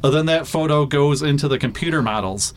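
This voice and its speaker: A man working as a weather forecaster, chatting casually in a radio interview, full of fillers and quick laughs. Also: fairly high pitch, a little hiss or hum.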